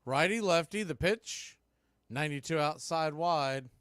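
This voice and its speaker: A man calling a baseball game play by play, rising to excited calls on big plays. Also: a little high, unhurried, clear, resonant tone, no echo, clean studio sound. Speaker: play-by-play announcer.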